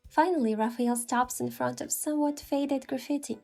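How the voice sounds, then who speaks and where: A woman's voice: high voice, normal speed, creaky, mellow. A woman reading scripted first-person story narration, with music behind her voice.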